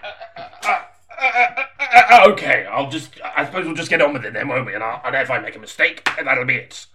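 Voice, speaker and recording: An actor playing a flustered, bumbling audiobook narrator in improvised comedy, hesitant, stammering and apologetic. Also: male voice, medium pitch, animated, fairly quick, sharp tone, a little hiss or hum. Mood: muddled, hesitant.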